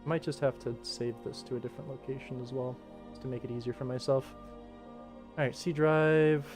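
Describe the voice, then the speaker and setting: A man's voice: medium pitch, steady tone, a little fast, over music. An American man chatting casually on a livestream as he works, sometimes talking half to himself. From United States. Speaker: livestreamer and animator. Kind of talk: casual livestream chat while working.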